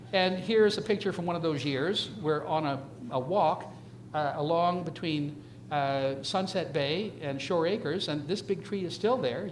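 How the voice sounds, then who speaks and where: Man in his seventies, slightly raised pitch, informal slide lecture, halting, conversational, direct address, light noise behind. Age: 70s. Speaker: retiring surgeon giving an emeritus lecture.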